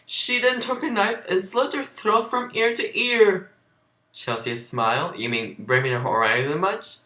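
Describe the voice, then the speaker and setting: A man's voice: sits high, unhurried, bright, forceful tone. A man giving an amateur dramatic reading of fan fiction, with sarcastic, sweary asides. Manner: sarcastic, sweary, often breaking into laughter. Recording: roomy, echoey home recording.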